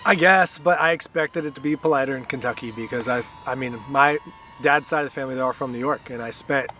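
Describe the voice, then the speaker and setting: A man from Guatemala answers casual questions with dry, self-deprecating humor and easy laughter. His voice is mid-pitched and sits a little off the mic. He is outdoors, with faint noise around him. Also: fast talker, pushed and bright, far from the mic. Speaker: guest. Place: outdoors at a park playground. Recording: voice a bit off-mic.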